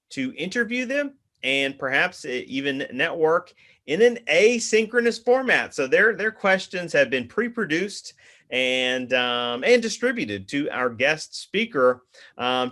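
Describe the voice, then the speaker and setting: A man's voice: medium pitch, sharp tone. A man, a university professor, introducing a guest for his class, with many fillers and restarts. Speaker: professor and host.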